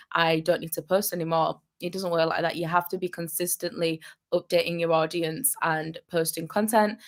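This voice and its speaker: A young adult woman with a slightly low voice, coaching her live viewers in an upbeat, encouraging way. She talks straight to the chat and repeats her key points for emphasis, like a business coach on a casual stream. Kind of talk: live-streamed advice vlog.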